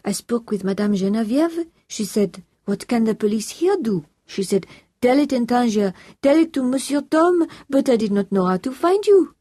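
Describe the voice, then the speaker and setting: Lady reading as fretful housekeeper lady, high voice, flustered and polite, audiobook character, close dry sound. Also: lively intonation, normal speed, warm tone, no room sound. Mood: flustered, worried. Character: anxious French woman housekeeper, deferential and distressed.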